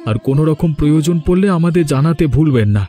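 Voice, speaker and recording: A man's voice: a little high, expressive, fast talker, mellow, faint music behind, voice pushed up, lightly acted. A man voicing an earnest, kindhearted young village man in a cartoon, speaking emphatically. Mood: earnest, forgiving. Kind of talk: Bengali cartoon voice acting.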